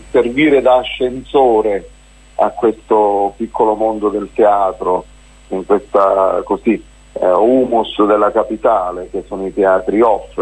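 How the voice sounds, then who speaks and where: A man speaks in a somewhat low, husky voice, heard on a radio broadcast. He rambles along as a guest, full of hesitations, fillers and restarts, and keeps circling back to his points. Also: low-quality recording. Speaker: festival organizer, interview guest.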